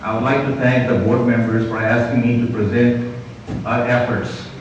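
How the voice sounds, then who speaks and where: Older non-native man addressing a community, slow with fillers; far from mic in an echoey hall. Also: mid-range voice, flat delivery, muffled recording, noisy background. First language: not English. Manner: slow, deliberate, frequent "you know" and "uh". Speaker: community speaker.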